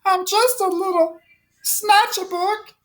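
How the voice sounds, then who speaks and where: A timid little male storybook creature, put on by a woman. The voice is small and very high, unhurried, full of sorrow and apology. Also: steady tone. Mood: mournful. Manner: mournful, apologetic. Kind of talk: children's storybook character reading.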